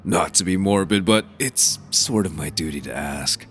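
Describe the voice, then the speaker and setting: A man's voice: low voice, moderate pace, a bit bright, faint music behind. A man playing a jovial, blunt middle-aged insurance salesman and family man in a radio drama, talking in a joking, folksy way.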